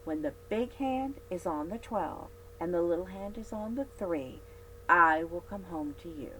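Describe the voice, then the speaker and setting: A woman reading the lines of a ten-year-old girl who dotes on her toy rabbit, in a gentle, promising way. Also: on the low side, expressive, measured pace, a little hiss or hum, poor audio. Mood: tender.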